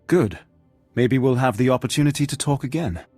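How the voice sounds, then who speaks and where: A man playing a courteous, flattering Sith bodyguard in a video game, with a formal, smooth-talking manner. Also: mid-range voice, brisk, dry recording, faint music behind, natural voice. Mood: admiring, flirtatious. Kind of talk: scripted video game dialogue.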